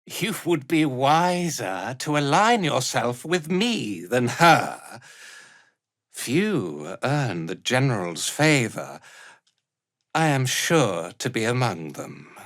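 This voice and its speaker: A man's voice: medium pitch, sing-song, slow, fairly bright tone, no room sound, studio quality. A man voicing an arrogant, contemptuous drow commander in a video game, with a haughty, imperious and theatrical delivery. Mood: haughty, scornful. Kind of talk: dramatic video game voice acting.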